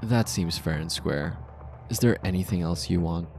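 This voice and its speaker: A man playing a possessive, smug mafia boss in an intimate ASMR roleplay, speaking deliberately and commandingly. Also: deep voice, darkish tone, faint music behind. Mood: coolly indulgent.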